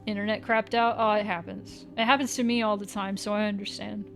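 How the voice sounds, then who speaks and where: A woman's voice: medium pitch, flat delivery, brisk, vocal fry, soft background music. A woman livestreaming, chatting casually with viewers in a playful, teasing way and swearing now and then.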